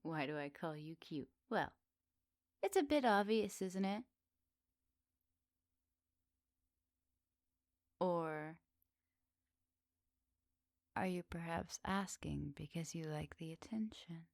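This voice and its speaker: Woman playing a slow-spoken teasing witch. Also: lowish voice, animated, creaky, no echo, clean studio sound, exaggerated voice. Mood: playful, teasing. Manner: soft, teasing, giggly, unhurried. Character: teasing, flirty, lonely witch in a woodland cottage. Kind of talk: intimate ASMR roleplay acting.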